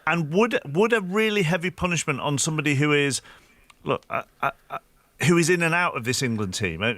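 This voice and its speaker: A man hosting a sports discussion, putting questions conversationally and rephrasing them haltingly as he goes. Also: on the high side, animated, bright, forceful tone, no room sound. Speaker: discussion host.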